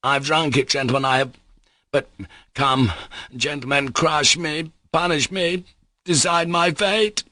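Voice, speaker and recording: Guy doing mitya, wild desperate accused guy, high strained voice, dry sound. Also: level tone, fast talker, no echo, lightly acted. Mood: pleading. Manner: anguished shouting protest. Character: Mitya, desperate young man accused of murder.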